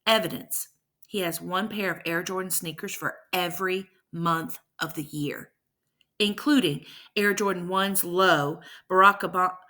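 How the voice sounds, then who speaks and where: A woman's voice: fairly low pitch, sharp tone. A woman teacher reading a verse story aloud with rhythmic, emphatic delivery and brief explanations.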